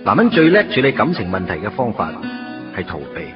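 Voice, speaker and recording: A young Hong Kong Cantonese man torn over his first love, protective yet evasive. His pitch moves widely between low-key brooding and firmer speech, in a muffled radio drama recording over music. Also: huge pitch swings, a little fast. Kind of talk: radio drama dialogue and inner monologue.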